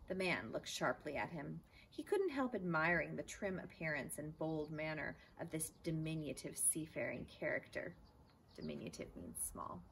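A woman reads a children's chapter book like a teacher. Her voice is slightly low, with animated, expressive intonation. She is recorded outdoors with faint background noise. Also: moderate pace, vocal fry, rough recording. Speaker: teacher reading aloud. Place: outdoors in a backyard garden. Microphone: camera a few feet away.

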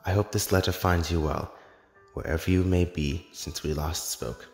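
A man playing a grave, lovelorn immortal in an animated drama, speaking earnestly in measured, formal, poetic phrases. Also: low voice. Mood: solemn, tender. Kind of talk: dramatic animated-film voice acting. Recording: echoey hall reverb, music underneath.

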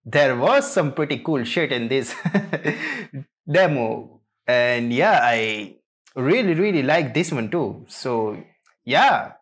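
A man's voice: mid-range voice, fairly bright tone. A man hosting a reaction video, commenting casually in a hesitant, trailing way.

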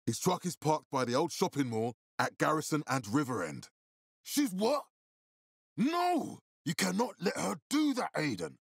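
A man voicing a grave, calculating militia commander in a video game, with a husky, authoritative and deliberate delivery. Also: on the high side, huge pitch swings, no room sound, clean studio sound, heavily acted. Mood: urgent, agitated. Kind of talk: video game character dialogue.

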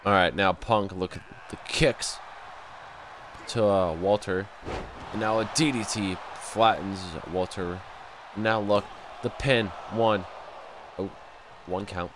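Young male gamer with a stuffy nose, excitable play-by-play over a wrestling game, lowish voice, faint hiss behind. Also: slow pace, long pauses. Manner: excitable, bursts of loud exclamations. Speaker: gameplay commentator.

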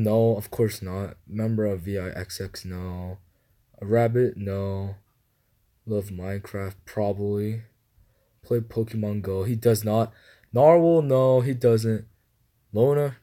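A young man reading guessing-game questions aloud and answering them in a low-key, mumbled way, recorded on a phone. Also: warm tone. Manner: mumbled, low-key answers, livelier when starting a new round. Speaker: gamer playing a guessing game. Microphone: phone screen recorder.

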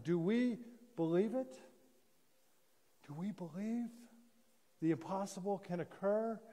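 A man in his sixties preaching a sermon as a pastor, solemn and deliberate, with pauses and repetition. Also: high-pitched, dark tone. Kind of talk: sermon, measured oratory. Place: church sanctuary, indoors at a lectern. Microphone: gooseneck lectern microphone. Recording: reverberant church hall sound.

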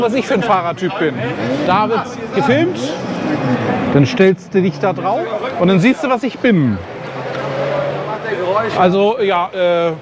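A man from Germany chatting casually to his viewers as he walks around a busy outdoor racetrack event, often ending his sentences with a questioning "ne?". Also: sits high. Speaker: vlogger. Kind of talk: casual walk-and-talk vlog. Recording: outdoor noise and crowd.

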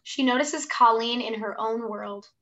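A young woman reads stage directions aloud on a video call. Her voice is bright and a little high, in scripted narration of a play. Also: steady tone, measured pace. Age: 20s. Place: room at home, indoors.

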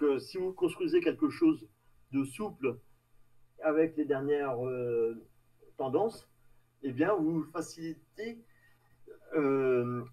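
Halting Frenchman presenting, distant mic. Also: a little high, a little mellow. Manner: halting, hesitant delivery with trailing phrases. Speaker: tech meetup presenter. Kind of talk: live technical presentation with slides. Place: online video meeting with screen share. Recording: distant mic on online call.

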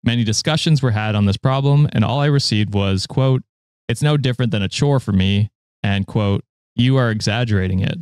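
A man's voice: lowish voice, a little fast, darkish tone, clean tone, no echo. Casual young male podcast host, dry sound. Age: late 20s. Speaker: podcast host reading stories. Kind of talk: casual chat and reading online posts aloud.

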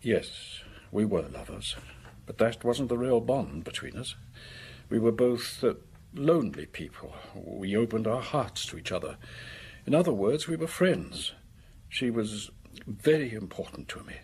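A lonely, respectable man in a radio drama, low and very husky. His pitch swings widely, and he speaks in formal, halting phrases with an anxious edge. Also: sing-song, a little mellow, exaggerated voice. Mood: wistful. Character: lonely, anxious, respectable married office man, secret lover.

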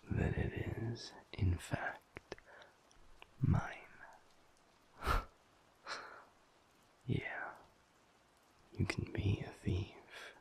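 A man playing a teasing, affectionate werewolf boyfriend in an intimate audio roleplay, with a breathy, gravelly murmur and a playful mock-stern manner. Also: low-pitched, a little mellow, exaggerated voice, low-quality recording.

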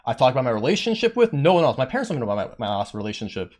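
A man streamer in the middle of a heated rant to his chat. He swears a lot and his pitch swings widely as he acts out what others said, mid-pitched and lively. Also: very fast. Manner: heated, petty, swearing, quotes others in raised voice; says he has a monotone voice.

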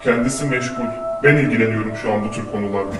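A man's voice: on the low side, very fast, distant mic, over a noisy crowd. A man playing a dry, teasing fairy, his voice drenched in reverb. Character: wry fairy godfather in a church. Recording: echoey, from the mic, music behind.

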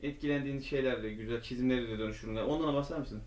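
A male reporter puts short, informal questions in an interview. He speaks at a very rapid pace with a mid-pitched voice. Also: darkish tone. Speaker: interviewer, local news reporter.

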